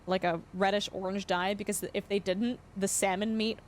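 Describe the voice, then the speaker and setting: A young woman streaming a farming game, chatting casually and explaining facts with lots of fillers, her voice slightly hoarse. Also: mid-range voice, level tone, normal speed, slight background noise. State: voice going hoarse. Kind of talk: casual live-stream chat.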